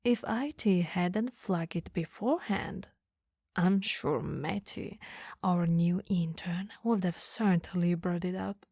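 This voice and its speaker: A woman playing a coy, flustered female boss in a flirty ASMR roleplay, speaking in soft, breathy whispers with a teasing, hesitant manner. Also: on the low side, takes it slowly, no room sound, studio quality, full character voice. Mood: gossipy, confiding.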